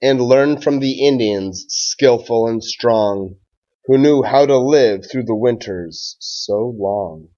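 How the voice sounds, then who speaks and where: A man who is a schoolteacher doing a read-aloud for children and talking to pupils in a measured, simple way. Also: mid-range voice, dark tone, muffled recording.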